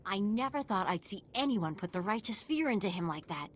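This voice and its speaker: A woman's voice: mid-range voice, animated, brisk, slight background noise, natural voice. An actress playing a stern, principled woman at a military outpost in a video game, speaking earnestly and formally. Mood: earnest, concerned.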